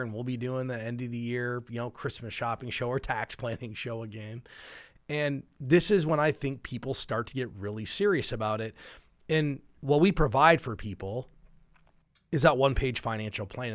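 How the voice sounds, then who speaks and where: A man's voice: medium pitch, a bit bright, dry recording. Middle-aged man explaining money, dry studio sound. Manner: explanatory, illustrates with hypothetical first-person quotes. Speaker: financial planner and show host. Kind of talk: conversational personal finance advice show.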